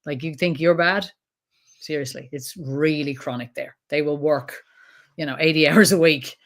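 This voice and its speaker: A woman with an Irish accent, a trauma expert talking as a guest in an interview, blunt and animated, swearing freely. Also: deep voice, moderate pace. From Ireland. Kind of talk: podcast interview conversation.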